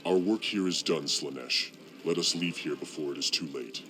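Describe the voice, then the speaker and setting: Extremely deep, grave villain. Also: male voice, normal speed, fairly bright tone, slight background noise. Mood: grave, urgent. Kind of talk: fantasy game cutscene acting.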